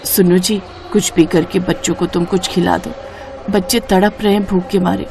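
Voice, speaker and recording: A woman's voice: lowish voice, fairly even intonation, normal speed, gravelly, a little mellow, slight background noise. A woman voicing a poor, anxious village wife and mother in a moral story, plaintive and pleading.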